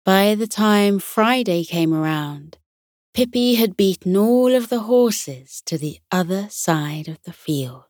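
A woman narrating a scripted children's bedtime story in a gentle, soothing storytelling manner. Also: medium pitch, animated, slow pace, no echo, clean studio sound.

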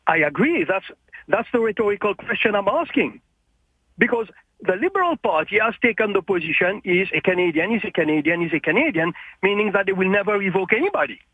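A man calling in to a radio show, insistent and animated, with a husky voice heard over a phone line. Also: high voice, brisk, no room sound. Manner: animated, insistent, repeats points. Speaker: caller.

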